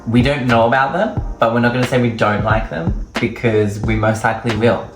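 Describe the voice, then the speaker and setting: A man in his thirties, co-hosting a casual question-and-answer chat, talkative and quick to laugh. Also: mid-range voice, fairly even intonation. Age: 30s. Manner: chatty, laughs often, drawn-out answers. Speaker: co-host. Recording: echoey room with music behind.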